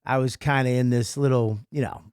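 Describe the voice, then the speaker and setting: A man's voice: medium pitch, steady tone, fairly quick, darkish tone, clear, resonant tone, no echo, studio quality. A man from Boston with a Boston accent, a comedian guest telling his life story in a rambling, self-deprecating way. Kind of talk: interview storytelling.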